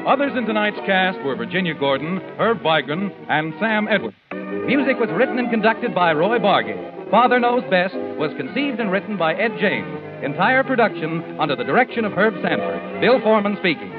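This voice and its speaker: Male American announcer, lively high delivery, thin old radio sound, music behind. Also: moderate pace. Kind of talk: 1940s radio announcing, scripted. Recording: thin, phone-like 1940s radio recording.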